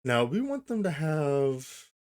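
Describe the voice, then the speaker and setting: A man hosting a software tutorial, explaining each step informally and thinking aloud as he goes. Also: a little high, sing-song, slow. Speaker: tutorial host. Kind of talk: casual software tutorial narration.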